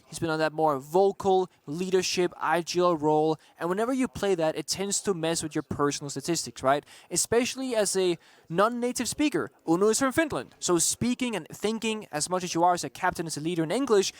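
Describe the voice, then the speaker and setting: A man doing color commentary at a live esports match, calmly explaining tactics and player roles. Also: high-pitched, no echo. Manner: explanatory, breaks down tactics steadily. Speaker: esports analyst caster. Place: broadcast desk at a live event.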